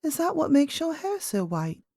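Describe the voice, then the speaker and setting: Female narrator as a young princess, high voice, lively intonation, inquisitive, childlike, storybook character. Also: moderate pace, dry recording, clean studio sound. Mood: frank, inquisitive. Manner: eager, questioning, childlike. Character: curious, outspoken little princess, a young girl.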